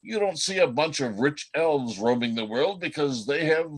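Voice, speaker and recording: A man playing a chatty, world-weary reindeer in an amateur radio play, telling gossip in a folksy, halting way. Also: fairly high pitch, expressive, slightly rough, poor audio. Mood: wry, explaining.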